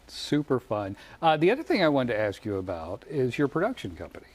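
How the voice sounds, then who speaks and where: A man's voice: mid-range voice, huge pitch swings, a little fast. Effusive male morning TV host. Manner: effusive, often repeats phrases. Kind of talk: enthusiastic broadcast interview chat.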